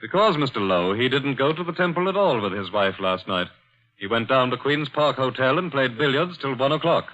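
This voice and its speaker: An actor playing a blunt, matter-of-fact police chief in a 1940s radio drama. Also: male voice, mid-range voice, lively intonation, brisk, a bit bright, lightly acted. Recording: dull old radio broadcast.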